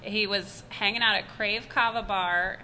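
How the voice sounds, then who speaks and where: A woman's voice: medium pitch, fairly even intonation, unhurried, over a noisy crowd. A woman co-hosting a casual podcast chat, playful and teasing with her guests. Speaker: co-host.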